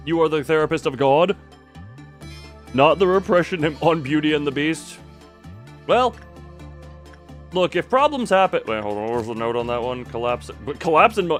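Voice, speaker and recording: A man giving casual livestream commentary on a game, rambling and breaking off his own sentences with wry asides. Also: medium pitch. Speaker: video game streamer.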